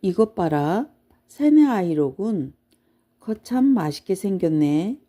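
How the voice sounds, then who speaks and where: Woman plays ancient toothless giant for children's storybook; gruff, blustery, soft-edged tone. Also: medium pitch, big pitch swings, slow. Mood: grumbling, wistful. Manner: blustery, exclaiming, grumbling.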